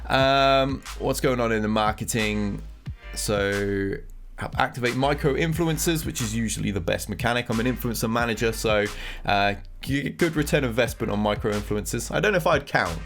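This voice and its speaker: A man's voice: mid-range voice, moderate pace, a bit bright, soft background music. A man with a cold and a nasal voice, giving casual commentary on game news as a gaming content creator. He rambles and thinks aloud as he reacts.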